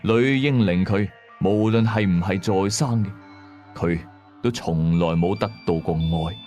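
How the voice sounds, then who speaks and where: A man's voice: deep voice, unhurried, mellow, highs cut off, faint music behind. A man with a Hong Kong Cantonese accent narrating a first-person horror story as a storyteller.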